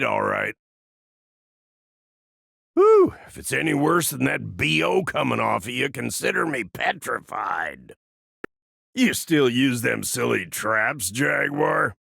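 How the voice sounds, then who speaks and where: Gravelly old American part-machine gunfighter. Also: male voice, mid-range voice, pushed and bright, no echo, studio quality, exaggerated voice. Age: older. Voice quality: gravelly, husky. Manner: folksy drawling slang, wry and grumbling. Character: gruff, grizzled old part-machine gunfighter, wry and world-weary. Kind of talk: video game character voice lines.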